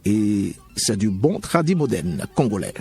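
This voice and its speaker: A man hosting a gospel radio program from the studio, introducing songs and asking questions in a smooth, formal announcer's manner. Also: on the low side, sing-song, a little slow, over loud music. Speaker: radio show host. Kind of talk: radio presenting.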